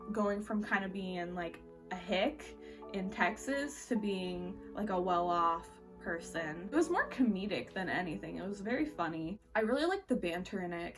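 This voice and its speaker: A woman reviewing books in a casual, informal, conversational vlog style with lots of filler words. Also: mid-range voice. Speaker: book reviewer vlogger. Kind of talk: casual chatty monthly reading wrap-up. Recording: distant mic with music behind. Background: background music.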